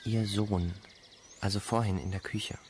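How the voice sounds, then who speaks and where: An actor playing a hesitant, naive young man in a German radio play, speaking haltingly and asking tentative questions. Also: on the low side, fairly even intonation, a little mellow, a little hiss or hum. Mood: puzzled, hesitant. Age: young adult. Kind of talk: naturalistic radio play acting.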